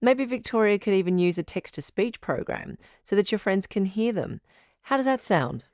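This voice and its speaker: A woman playing a supportive radio advice doctor and host in an audio drama, measured, articulate and reassuring. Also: mid-range voice, animated, a little mellow, dry recording, clean studio sound, lightly acted. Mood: supportive, attentive.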